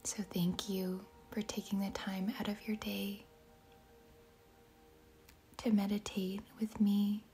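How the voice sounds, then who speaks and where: Slow, whispery woman guiding meditation. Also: medium pitch, flat delivery. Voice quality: soft, breathy, whispery. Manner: gentle, deliberate, with long pauses between short phrases. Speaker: meditation guide. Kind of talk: guided meditation, slow and soothing narration.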